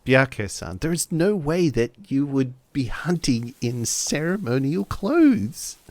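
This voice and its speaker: A man's voice: mid-range voice, sing-song, takes it slowly, darkish tone, dry recording, pitched above the performer's own voice. A man playing a polite, formal samurai retainer in a tabletop game. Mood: firm. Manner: courteous, formal.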